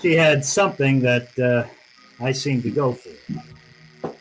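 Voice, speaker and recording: A world-weary American private detective, acted by a man in an old-time radio noir. He talks in tough slang with a wry edge, at a medium pitch. There is clear background noise on the recording. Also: slow, lightly acted, low-quality recording. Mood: wry, matter-of-fact. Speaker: actor narrating and playing the lead.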